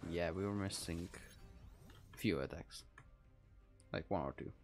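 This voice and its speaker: A man's voice: deep voice, unhurried. A man from the Czech Republic with a Czech accent, giving casual live game commentary and thinking aloud as he plays. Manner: rambling, thinking aloud while playing. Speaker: game streamer. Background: game music.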